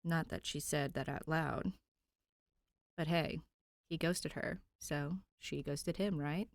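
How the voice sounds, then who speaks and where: A woman's voice: deep voice, fairly even intonation, takes it slowly, gravelly, no room sound, clean studio sound. A woman podfic reader narrating fan fiction expressively, with an informal, conversational touch.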